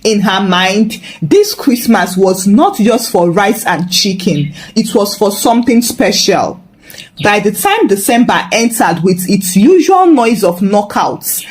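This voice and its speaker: A woman with a Nigerian accent narrating a scripted folktale in an expressive, humorously dramatic way. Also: medium pitch. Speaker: storyteller, narrator.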